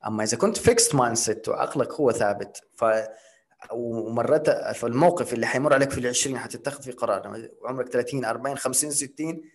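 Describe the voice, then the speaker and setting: A man's voice: mid-range voice, quick pace. A man speaking as a podcast guest, explaining ideas through rhetorical questions and English terms. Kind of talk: casual podcast conversation.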